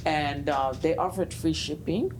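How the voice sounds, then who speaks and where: Low-voiced woman hosting a chat. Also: unhurried, over music. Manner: friendly, playful prompting questions. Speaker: host. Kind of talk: casual interview chat. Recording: background noise.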